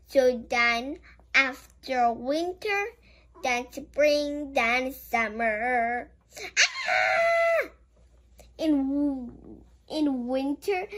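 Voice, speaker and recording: Very high childlike voice reading a picture book. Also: fairly bright tone. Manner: childlike, exclaiming with gasps and giggles. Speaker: children's show host. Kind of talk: picture-book read-aloud with playful commentary.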